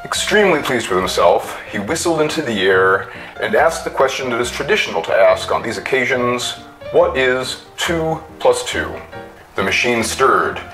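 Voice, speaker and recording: A man giving a theatrical story reading with deliberate emphasis. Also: mid-range voice, expressive, sharp tone, faint music behind, rough recording. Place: small indoor room with bookshelves.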